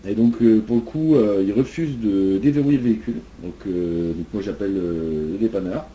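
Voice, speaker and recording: A man with a very mellow, muffled-dark timbre plays a police officer in improvised game chat. He speaks low and rambles, feeling his way through explanations. There is faint noise behind the voice. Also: normal speed. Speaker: player role-playing a police officer. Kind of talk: improvised online role-play chat. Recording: dull mic with background noise.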